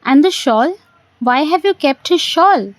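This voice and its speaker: A woman's voice: high-pitched, animated, measured pace, dry recording, voice pushed up. A woman voicing a polite, curious young man, a prospective son-in-law, in an audio play. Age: young adult. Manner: earnest, deferential, questioning.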